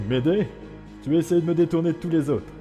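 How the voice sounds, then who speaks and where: A man playing a weary, guarded skeleton with a gentle, earnest streak in a dramatic comic dub. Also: fairly high pitch, a little fast, natural voice. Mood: firm, wounded. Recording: long reverb with music underneath.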